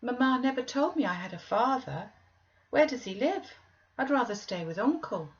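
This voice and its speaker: A woman's voice: a little high, expressive, normal speed, highs cut off, voice pushed up, lightly acted. A woman voicing a frail, anxious, questioning young boy in an audiobook reading of a classic novel. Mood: perplexed, plaintive.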